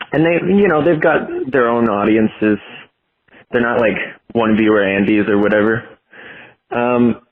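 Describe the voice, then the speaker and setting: A young man leaving a rambling, casual voicemail, hesitant and informal as he thinks aloud. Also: medium pitch. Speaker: voicemail caller. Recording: muffled tinny phone voicemail line.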